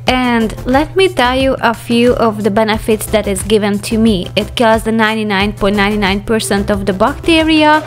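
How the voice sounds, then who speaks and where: Higher-pitched woman narrating over music. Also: moderate pace, few pauses. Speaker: home vlogger narrating a cleaning routine. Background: background music.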